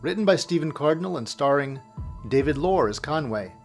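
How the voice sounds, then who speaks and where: A man's voice: on the high side, animated, brisk. Man reading credits over music. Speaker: credits announcer. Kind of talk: scripted credits narration. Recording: music under the voice.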